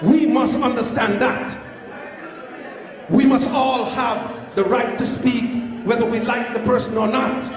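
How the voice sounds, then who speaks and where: A man's voice: extremely high pitch, steady tone, unhurried, crowd noise. A man speaking up emphatically and insistently from the floor of a public meeting. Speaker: audience member speaking up. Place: large public meeting hall. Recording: echoey hall with long reverb.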